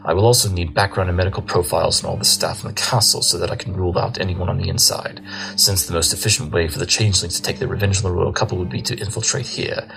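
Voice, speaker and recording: Man voicing arrogant detective stallion, low gravelly voice, rapid-fire condescension, cartoon audio drama. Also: steady tone, low-quality recording. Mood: lecturing. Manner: pompous, rapid-fire lecturing. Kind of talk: fan audio drama acting.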